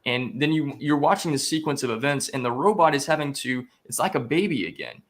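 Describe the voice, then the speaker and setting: A man, a guest on a talk show, telling a story casually in an animated way, quoting dialogue as he goes. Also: mid-range voice, a little fast. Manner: animated retelling with quoted dialogue. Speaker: guest, spiritual growth coach.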